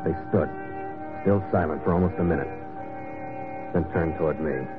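An actor playing a tough, wry sea captain who also narrates a vintage radio adventure in a clipped way. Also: male voice, rumbling low, normal speed, over loud music. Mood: wry narrating. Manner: clipped, wry, hard-boiled. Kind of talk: old-time radio adventure acting and narration. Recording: tinny vintage radio recording.

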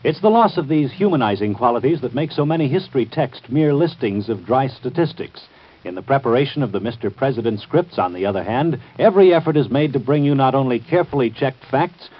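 Husky old-time American radio announcer, man. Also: medium pitch. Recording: muffled vintage radio broadcast.

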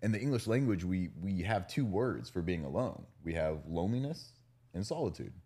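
A man's voice: deep voice, lively intonation, a little fast, dark tone, husky. A man chatting casually as a podcast host, frank and conversational in how he puts his points. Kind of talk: casual chat.

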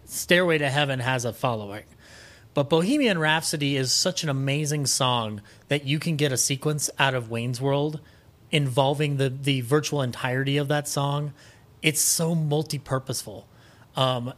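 Guy w a kinda high voice on a podcast, really into his opinions, keeps restarting sentences, emphatic. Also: fairly bright tone. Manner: emphatic, enthusiastic, halting restarts mid-thought. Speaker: podcast guest. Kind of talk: casual opinionated chat about music and film.

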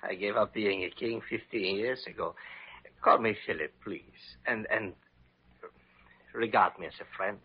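Husky, gracious exiled king. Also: on the low side, a little slow, long pauses. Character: dying exiled former king, gracious and resigned. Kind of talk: old-time radio drama acting. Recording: dull lo-fi vintage radio recording.

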